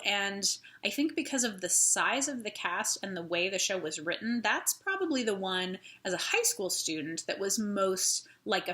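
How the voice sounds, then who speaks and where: Bright-voiced woman chatting informally. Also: medium pitch. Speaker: theatre teacher and host of a story time series. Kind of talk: casual storytelling to camera.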